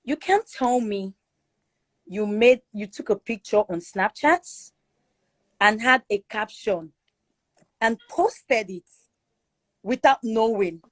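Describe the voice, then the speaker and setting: A woman with a Nigerian accent giving her opinion forcefully in a live chat room, repeating her points for emphasis. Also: on the high side, lively intonation, slow, long pauses, no echo, low-quality recording. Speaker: guest speaker in a live audio chat room. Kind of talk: opinionated casual commentary.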